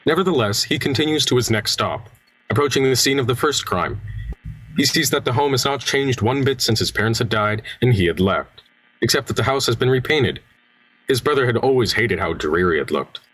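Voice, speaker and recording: A man's voice: medium pitch, expressive, brisk, a bit bright, breathy edge, low-quality recording. A man acting as the storyteller, reading scripted narration for an amateur radio play. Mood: somber. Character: dramatic storyteller narrating a small-town murder mystery.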